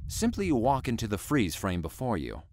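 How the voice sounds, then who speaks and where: A smooth sinister salesman, very deep. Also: wildly swooping pitch, moderate pace, darkish tone, no room sound, clean studio sound. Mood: calmly sinister. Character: sinister supernatural male salesman, calm. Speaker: voice actor. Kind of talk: audio drama acting.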